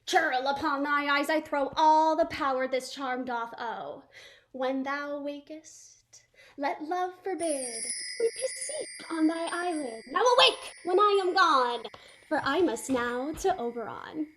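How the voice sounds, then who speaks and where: Impish sprite in a stage play, a woman in the role, very high voice, whispery asides. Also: takes it slowly, a bit bright, slight background noise. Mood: mischievous. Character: mischievous sprite servant, playful. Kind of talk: Shakespearean stage acting.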